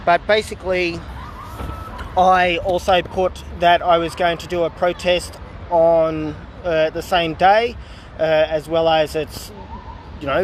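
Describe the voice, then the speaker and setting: A man's voice: a little high, a little hiss or hum. A man talking casually to viewers while filming outdoors, rambling with many fillers and asides, and he says he is losing his voice. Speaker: political vlogger. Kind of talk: casual livestream talk to viewers. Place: outdoors in a public town area.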